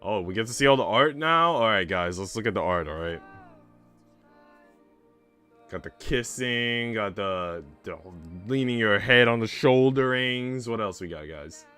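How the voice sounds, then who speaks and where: A man's voice: on the low side, expressive, moderate pace, hardly any pauses, bright, forceful tone, clean tone, soft background music. A man streaming a game, chatting casually in a rambling, irreverent, sweary way. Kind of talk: casual livestream chat and commentary.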